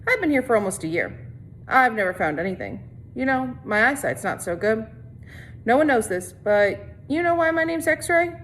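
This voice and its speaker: A woman's voice: fairly high pitch, very animated, moderate pace, fairly bright tone. A small teenage gang leader from a storybook, read out by a woman, casual and cajoling. He pushes to get his way. There is faint noise behind the voice.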